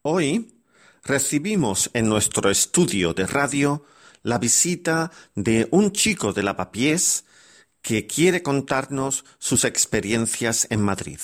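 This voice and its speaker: A male radio host reads a scripted introduction. His mid-pitched voice moves very slowly, with formal, deliberate phrasing.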